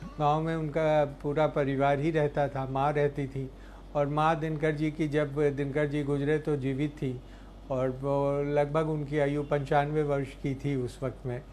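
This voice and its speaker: A man speaking unscripted as an interviewee, recollecting in a halting way and searching for words. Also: on the high side, flat delivery, darkish tone, soft background music. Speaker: interviewee recounting a poet's life. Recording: faint background ambient noise.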